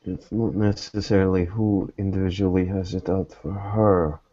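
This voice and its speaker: A man acting as game master in a tabletop roleplay, narrating and speaking in character in a measured, deliberate way with pauses. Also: deep voice, steady tone, mellow, no echo, muffled recording.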